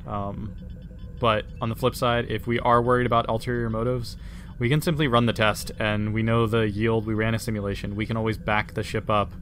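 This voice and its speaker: A man's voice: on the low side, level tone, a little fast, a bit bright. Dry, teasing man at a game table. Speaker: player in a tabletop roleplaying game. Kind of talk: casual table chat. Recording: faint room noise.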